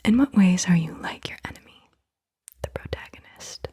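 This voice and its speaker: A woman hosting ASMR, speaking softly and deliberately in a breathy whisper. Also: on the low side. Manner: soft-spoken, deliberate questioning. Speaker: ASMR host.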